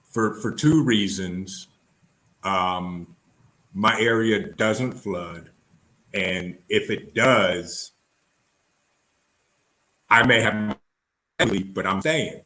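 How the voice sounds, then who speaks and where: A man's voice: fairly low pitch, drawn-out words, long pauses, bright, forceful tone. A man in a casual call who often agrees in short words and speaks his mind plainly. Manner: agreeable, frequent short affirmations. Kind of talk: casual video call chat.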